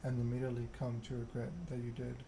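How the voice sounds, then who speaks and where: A man reading a story aloud in an ASMR narration style, measured and unhurried. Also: mid-range voice, completely flat delivery, creaky. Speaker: video game streamer reading game text aloud. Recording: noticeable background noise.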